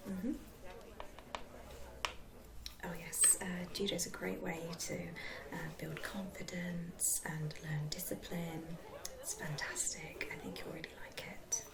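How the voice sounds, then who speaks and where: A woman playing a friendly, helpful sports centre receptionist in a soft, unhurried whispered ASMR roleplay. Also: lowish voice, crowd noise. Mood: helpful, reassuring. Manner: soft-spoken, whispering, unhurried, hesitant fillers.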